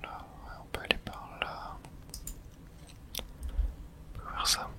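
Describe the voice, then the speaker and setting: A person whispering breathy, casual video game commentary in ASMR style, thinking aloud in short remarks close to the microphone. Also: unhurried.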